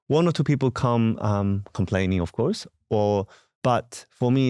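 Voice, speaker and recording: A priest from Korea with a Korean accent, speaking in an interview in a lowish voice. He talks in a halting way, pausing often and searching for words. The sound is dry and close. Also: a little mellow, clear, resonant tone, dry recording. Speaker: parish priest.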